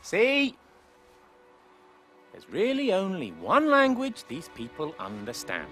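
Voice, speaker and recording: An actor playing an arrogant, cruel Roman emperor's son in a video game, haughty and sneering, with music behind. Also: male voice, high-pitched, huge pitch swings, slow, sharp tone, heavily acted. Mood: smug, commanding. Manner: haughty, sneering, dramatic delivery.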